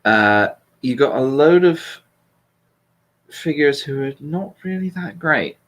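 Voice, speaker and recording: A man's voice: fairly high pitch, expressive, slow pace, a bit bright. Blunt British man chatting casually. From Britain. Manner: blunt, sweary, self-deprecating. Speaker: host. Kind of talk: casual political chat.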